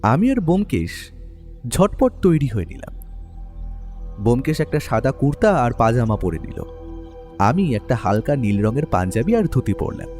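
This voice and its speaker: A man playing a writer who is a detective's companion, telling the story in an expressive, literary first person. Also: medium pitch, moderate pace, long pauses, big-room sound, soft background music, lightly acted. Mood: brisk, narrating. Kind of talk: scripted first-person story narration and drama acting.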